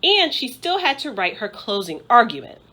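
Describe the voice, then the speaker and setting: A woman narrating a children's storybook aloud in an expressive style, like a school principal reading to pupils. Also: fairly high pitch, normal speed.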